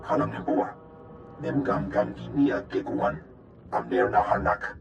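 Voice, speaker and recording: An actor playing a male alien in a video game, speaking an invented tongue with a guttural, gravelly voice. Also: mid-range voice, steady tone, measured pace, echoey room, dull audio, background noise.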